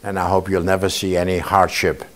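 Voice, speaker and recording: A man's voice: low-pitched, level tone, a little fast, a bit bright. Older man talking to students. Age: 70s. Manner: conversational, often checks in with listeners by asking "okay?". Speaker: Holocaust survivor speaking to students. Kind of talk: informal testimony talk to a student audience. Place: indoor museum room.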